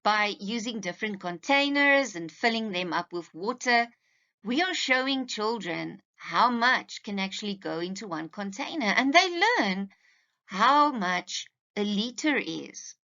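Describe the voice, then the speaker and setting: A woman's voice: mid-range voice, animated, measured pace, sharp tone, dull audio. A woman teacher giving a scripted presentation to parents in a didactic, carefully enunciated way.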